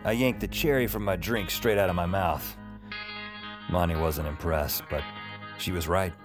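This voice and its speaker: An actor playing a wry, jaded 911 night-shift operator who narrates his own audio drama in a deadpan, sardonic way. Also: deep voice, normal speed, over music, natural voice. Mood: wry narrating. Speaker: lead character and narrator. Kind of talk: audio drama acting with first-person narration.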